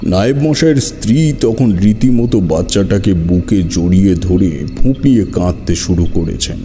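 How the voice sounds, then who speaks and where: Male narrator, very low, extremely mellow dark tone, dramatic horror storytelling, faint music. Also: normal speed. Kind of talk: scripted first-person horror story narration.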